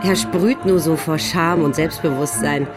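A woman's voice: low voice, a little slow, over music. A woman playing a worldly, confident upper-class English elder sister in an audio documentary drama. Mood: admiring, poised.

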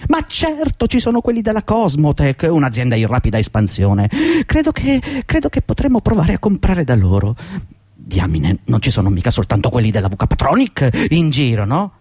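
A man's voice: medium pitch, wildly swooping pitch, normal speed, a little mellow, slightly rough, no echo, studio quality. An actor playing a middle-aged, servile and agitated long-time employee pleading with his boss in a fretful, rambling radio drama performance. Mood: eager, chatty. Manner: fretful, deferential, rambling and insistent. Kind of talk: theatrical radio drama acting.

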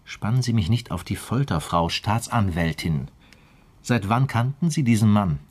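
An actor playing an impatient, sardonic police detective in a German radio crime drama, questioning in a pointed, probing way. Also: male voice, on the low side, expressive, normal speed, darkish tone, breathy edge.

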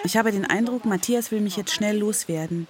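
A woman's voice: mid-range voice, a little fast, crowd noise. A woman giving scripted first-person narration as the author of the piece.

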